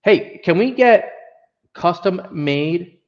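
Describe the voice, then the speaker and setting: A man's voice: high voice, animated, takes it slowly, muffled recording. A Puerto Rican man with an accent, chatting casually as a guest and restarting often. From Puerto Rico. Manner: hesitant, many restarts. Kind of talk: casual chat.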